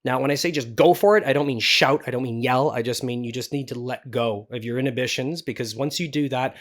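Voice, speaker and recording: A man's voice: medium pitch, brisk. Casual, encouraging man giving a tutorial. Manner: encouraging, informal. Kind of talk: casual instructional talk.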